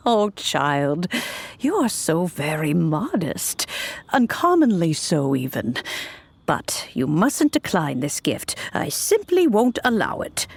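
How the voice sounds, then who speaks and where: A doting old granny from a video game, with a gravelly creak in her voice. She talks gently and slowly, rising and falling a lot, over faint ambient noise. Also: on the low side, wildly swooping pitch, full character voice. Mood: doting, grateful. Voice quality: creaky elderly voice. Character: kindly, grandmotherly old woman, insistent and grateful. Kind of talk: video game character acting.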